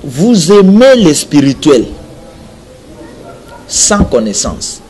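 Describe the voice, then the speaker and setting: A man's voice: on the high side, big pitch swings, very slow, a little mellow. A man with a Congolese accent preaches in an emphatic, exhorting manner, repeating phrases for weight. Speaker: preacher, self-described prophet. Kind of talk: sermon, religious address mixing two languages.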